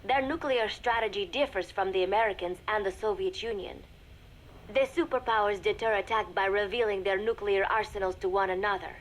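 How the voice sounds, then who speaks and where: A woman voicing a composed young female spy in a video game audio log, speaking formally with measured, deliberate diction. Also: mid-range voice, natural voice. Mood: cool, calculating. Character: composed young female spy secretly reporting to a shadowy master. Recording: noisy cassette tape recording with ambience.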